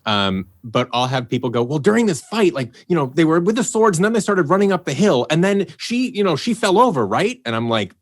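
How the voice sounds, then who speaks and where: A man's voice: fairly high pitch, very animated, brisk, few pauses, dry recording, studio quality. A man talking casually in an interview as an audio drama director, explaining with acted-out examples.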